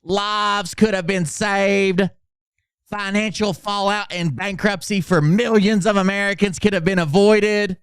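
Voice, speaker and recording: A man playing a melodramatic, self-important political doomsayer, reading posts in a grandiose, over-dramatic way with emphatic pauses. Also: high voice, steady tone, clean tone, no room sound, studio quality. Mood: indignant, dramatic.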